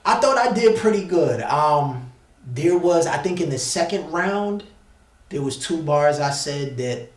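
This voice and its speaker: A man's voice: fairly high pitch, animated, measured pace. A man from the United States telling a story casually as an interview guest, with slangy, emphatic and repetitive phrasing. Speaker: interview guest, battle rapper. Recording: echoey room, distant mic.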